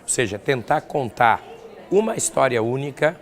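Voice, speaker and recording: A man's voice: mid-range voice, animated, normal speed. An older man with a southern Brazilian accent, a professor answering interview questions in an explanatory way with examples. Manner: explanatory, illustrates points with examples. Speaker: academic researcher and professor being interviewed.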